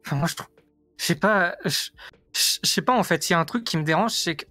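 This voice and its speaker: A man's voice: fairly high pitch, fast talker, bright, forceful tone, dry recording, faint music behind. A man from France explaining his view in a casual debate, insistent and emphatic, often repeating himself. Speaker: guest giving an opinion.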